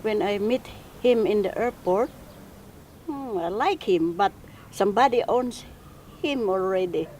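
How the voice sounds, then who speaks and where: Slow, shy Filipino wife. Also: female voice, mid-range voice, expressive, dark tone, slight background noise. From Philippines. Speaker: interviewee, wife. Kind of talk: casual interview.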